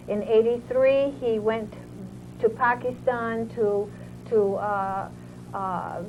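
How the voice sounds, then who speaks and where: A woman hosting an interview show, introducing her guest in a measured way, with many pauses and fillers. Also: on the high side. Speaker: talk show host. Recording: tinny phone-like audio with faint hiss.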